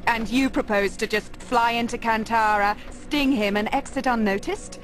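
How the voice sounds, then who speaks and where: A woman's voice: on the high side, fairly even intonation, moderate pace, close to an everyday voice, poor audio. A woman playing a wry, skeptical, defiant young woman in a video game, sardonic and challenging. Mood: mocking, skeptical. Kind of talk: video game cutscene voice acting. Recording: music behind the voice.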